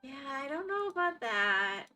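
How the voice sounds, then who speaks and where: A woman's voice: sits high, very animated, unhurried, pushed and bright, faint music behind. A woman with a Florida accent, chatting casually with viewers as a live streamer and reacting to their comments. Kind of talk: casual chat while gaming.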